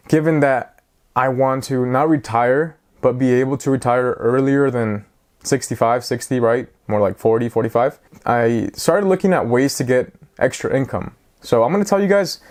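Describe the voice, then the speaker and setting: A man in his twenties chats at an ordinary middle pitch, wandering off on tangents. He is casual and a little self-mocking, like a vlogger talking straight to his audience. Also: darkish tone. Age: 20s. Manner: rambling, self-mocking, chatty. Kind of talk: casual talk to camera.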